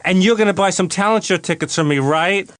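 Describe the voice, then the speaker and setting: A woman voicing a brisk, bossy court officer in an animated cartoon. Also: lowish voice, no room sound, studio quality, natural voice. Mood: pushy.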